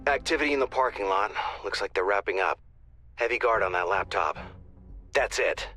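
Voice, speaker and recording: An actor playing a wry male operations dispatcher who briefs the squad in a dry, quick manner. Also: on the low side, bright, forceful tone, faint music behind. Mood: briefing. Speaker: mission dispatcher. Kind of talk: video game voice acting.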